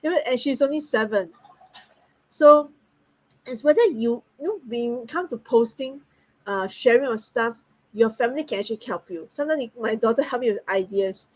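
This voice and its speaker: A woman's voice: on the high side, normal speed, a little mellow, poor audio. A woman from Singapore, talking as a guest in a friendly, earnest way. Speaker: guest business partner. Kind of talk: casual online talk.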